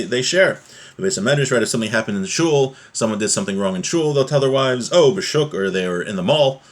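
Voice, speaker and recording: A man teaches an informal religious class in a medium-pitched voice. He moves between reading a text aloud and explaining it in everyday words. He restates each point step by step, as a teacher would.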